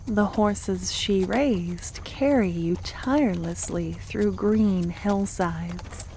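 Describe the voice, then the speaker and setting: A woman reading scripted fantasy narration for ASMR in a soft voice. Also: medium pitch, slightly rough, low-quality recording. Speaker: ASMR roleplay creator and narrator.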